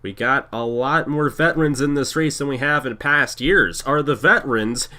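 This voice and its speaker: A man with a congested voice from a cold, calling a simulated stock car race as play-by-play host in an energetic, sing-song announcer style. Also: medium pitch, moderate pace, slight background noise. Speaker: play-by-play commentator. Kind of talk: sim racing broadcast commentary.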